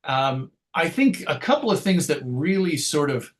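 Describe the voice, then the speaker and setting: A man's voice: on the high side, sing-song, moderate pace. A middle-aged man reminiscing casually as an interview guest, hesitant and rambling, often interrupting himself to restart a thought. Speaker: interview guest, writer and radio show host.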